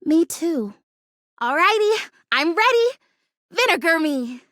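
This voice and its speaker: A very high, swooping female voice for an anxious plastic houseplant in a video game. She is earnest and openly emotional, full of hope and hesitation. The sound is dry and close. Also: slow, dry recording, full character voice. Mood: grateful, hopeful. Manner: earnest, hesitant, emotionally expressive, self-interrupting. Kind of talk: video game character acting.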